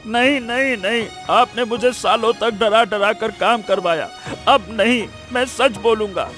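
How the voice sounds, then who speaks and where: Cartoon henchman, very high-pitched, sharp tone, cowardly, stammering, servile, music behind. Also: unhurried. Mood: desperate, confessing. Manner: stammering, pleading. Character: frightened, servile henchman of a village strongman. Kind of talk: Hindi cartoon moral-story voice acting. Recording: background music under the voice.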